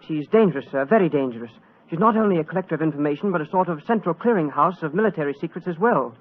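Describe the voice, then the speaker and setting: Earnest, higher-voiced young British civil servant. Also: male voice, animated, rapid-fire. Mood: earnest, urgent. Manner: polite, eager, earnest. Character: earnest, deferential young British Foreign Office man. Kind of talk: 1940s radio acting. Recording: old muffled 1940s radio recording.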